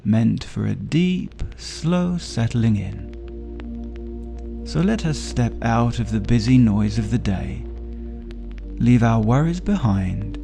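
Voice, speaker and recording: A man narrating a sleep story for adults as a storyteller, with a soothing, gentle, unhurried delivery. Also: fairly low pitch, creaky. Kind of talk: slow bedtime story narration.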